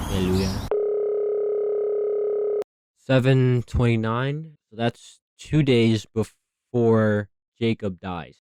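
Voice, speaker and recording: A man giving casual, rambling commentary as a host, slangy, repeating himself and thinking out loud. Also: lowish voice, slow pace, long pauses, clean tone, dry recording. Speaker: theory video host reacting to horror series.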